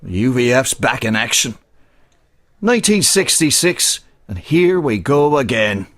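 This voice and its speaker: A man's voice: medium pitch, big pitch swings, measured pace, a bit bright. An actor with a Northern Irish accent playing an old man in a pub, rambling wistfully about the past. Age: older. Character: old male drinker in a pub, haunted by the past.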